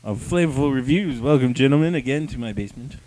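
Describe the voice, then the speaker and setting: A man's voice: medium pitch, expressive, fast, a little mellow, slight background noise, rough recording. A man hosting a casual review chat with friends, joking in a crude, slangy way. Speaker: host of comic review show.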